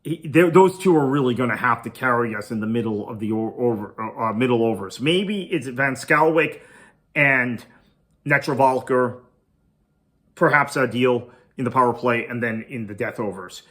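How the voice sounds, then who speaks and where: A man's voice: mid-range voice, moderate pace, a bit bright. A man whose first language is Tamil, hosting a podcast and talking through a cricket match preview in a conversational, thinking-aloud way. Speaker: podcast host. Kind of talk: solo cricket preview commentary.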